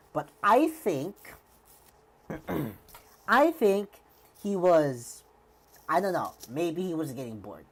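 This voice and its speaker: Very low-voiced woman streamer, rambling. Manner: rambling and hesitant, heavy on fillers and restarts. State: slightly worn voice. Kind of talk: live-stream reaction commentary.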